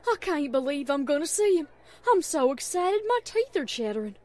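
A woman voicing an excitable, good-hearted young farm pony mare with a folksy, earnest manner. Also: high voice, normal speed, slightly rough, full character voice. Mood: excited.

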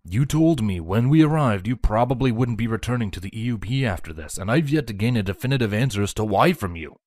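Man voicing an earnest, low-voiced pony soldier husband. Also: expressive, quick pace, a little mellow, no room sound, studio quality. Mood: questioning, frustrated. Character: devoted adult male pony soldier husband, earnest, impatient. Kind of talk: audio story character reading.

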